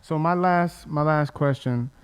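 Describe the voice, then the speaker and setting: A man's voice: fairly high pitch, a little slow, clear, resonant tone. A young man hosting a casual discussion podcast, joking with the panel and steering the questions. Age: young adult. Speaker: podcast host.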